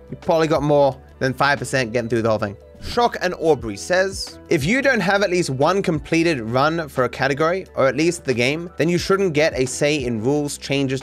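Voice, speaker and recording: A man in his thirties with a slightly high voice, reacting to viewer comments from his home streaming setup. He rambles and swears freely, and soft music plays under him. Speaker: speedrunning streamer. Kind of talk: casual commentary reading viewer takes. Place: home streaming room, indoors.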